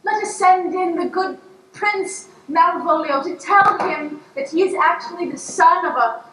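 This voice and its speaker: A woman's voice: very high voice, unhurried, fairly bright tone, far from the mic. A woman playing a lofty, meddling goddess in improvised stage comedy, declaiming in mock-poetic phrases. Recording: echoey stage pickup from afar, faint noise.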